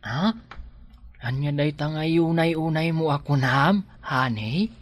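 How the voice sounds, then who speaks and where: A man's voice: fairly high pitch, slow. An actor playing a young husband and tricycle driver who dotes on his pregnant wife and turns frantic when she goes into labor, in a radio drama. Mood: affectionate. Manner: doting, then loud and frantic. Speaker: expectant father.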